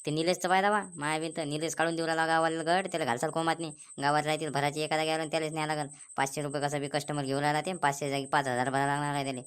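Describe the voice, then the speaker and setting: A woman's voice: sits low, little pitch movement, racing, hardly any pauses, clean tone, lightly acted. A woman playing a skeptical, nagging villager in a comic cartoon, chattering warnings in a Vidarbha Marathi accent. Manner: chattering, admonishing.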